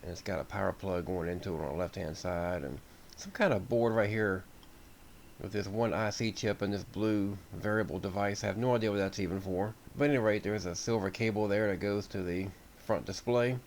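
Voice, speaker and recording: An American man with a fairly low voice talks through a hands-on repair in an unscripted, folksy way. He sounds like a hobbyist explaining things as he goes. A faint layer of noise sits behind his voice. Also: fairly even intonation, a little fast, creaky, a little mellow. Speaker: radio hobbyist host. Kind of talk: informal repair walkthrough narration.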